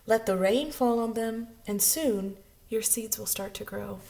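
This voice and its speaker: A woman voicing a kindly, sensible frog friend in a children's storybook, gently giving advice. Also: on the high side, measured pace, creaky. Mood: reassuring. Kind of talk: children's storybook read-aloud.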